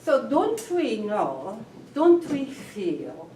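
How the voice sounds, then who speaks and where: A woman who grew up in Japan, speaking thoughtfully with frequent pauses in a group discussion. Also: on the high side, wildly swooping pitch, warm tone. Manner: thoughtful, pausing often with fillers. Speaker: forum participant. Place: meeting room with a group. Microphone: room mic at a distance. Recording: echoey room, distant mic, faint noise.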